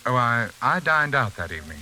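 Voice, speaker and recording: An actor playing a suave, sinister brother-in-law who runs a sanitarium, in formal, theatrical 1940s radio suspense style. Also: male voice, medium pitch, very animated, a little fast, bright, forceful tone. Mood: suavely evasive. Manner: theatrical, smoothly menacing, formal. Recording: hissy 1940s radio recording, rain behind.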